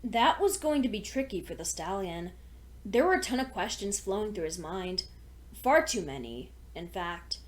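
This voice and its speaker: A woman's voice: medium pitch, sing-song, moderate pace. A woman reading fan fiction aloud as a scripted story narration.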